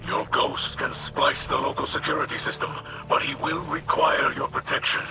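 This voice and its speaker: A man playing a solemn mystical commander in a video game, speaking formally and gravely. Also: fairly high pitch, level tone, normal speed, loud music behind the voice, lightly acted. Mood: grave, urging.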